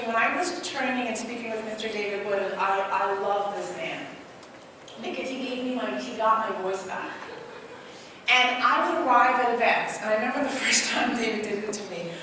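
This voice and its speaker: Energetic woman speaking on stage. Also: on the high side, brisk, noisy background. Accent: Trinidadian. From Trinidad. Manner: energetic, asks the crowd questions, tells personal stories. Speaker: motivational speaker. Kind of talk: live stage talk to an audience. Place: large hall with an audience. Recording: echoey hall, mic heard from a distance.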